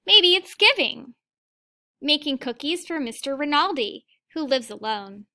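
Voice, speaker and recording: A woman reading a children's story aloud like a teacher, in an expressive, deliberate way, with asides to her young listeners. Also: sits high.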